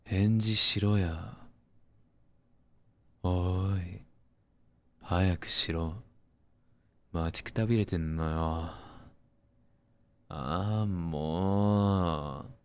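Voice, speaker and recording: Man acting a deep-voiced cocky anime boyfriend. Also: slow pace, long pauses. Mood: impatient. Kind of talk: Japanese voice-drama phone monologue.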